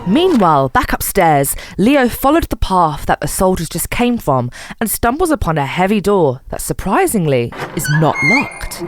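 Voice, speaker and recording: Animated woman narrator over music. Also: on the low side, normal speed. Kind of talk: animated scripted story narration.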